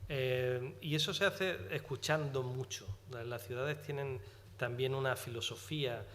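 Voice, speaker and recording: Male panelist with a Granada accent, mid pitch, hesitant and explanatory, in a reverberant hall. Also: measured pace, a bit bright. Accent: Granada Andalusian. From Granada, Spain. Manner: hesitant, many fillers, explanatory. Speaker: panelist writer. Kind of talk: round-table discussion. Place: lecture hall at a public panel.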